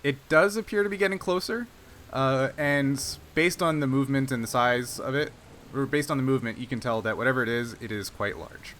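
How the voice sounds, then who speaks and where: A man acting as game master, narrating a tabletop game and talking through rules casually, pausing as he works out rulings. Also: medium pitch, a bit bright. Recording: clear background noise.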